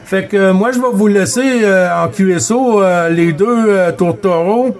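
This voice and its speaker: A man from Quebec with a Québécois accent, chatting casually and haltingly as a CB radio operator. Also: high-pitched, darkish tone. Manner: halting, repetitive, frequent filler tags. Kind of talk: casual radio contact chat.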